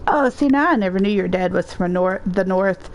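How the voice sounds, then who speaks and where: A woman's voice: medium pitch, lively intonation, normal speed, slight background noise, low-quality recording. A woman with a Southern US accent, chatting casually as she livestreams a game. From Southern United States. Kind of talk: casual chat while streaming a game.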